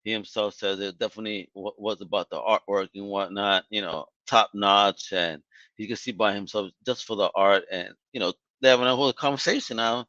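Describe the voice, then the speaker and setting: A man hosting a podcast, chatting casually and excitedly with lots of stammered restarts and slang. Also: on the low side, a little fast, dry recording, dull audio. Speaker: podcast host. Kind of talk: casual interview wrap-up.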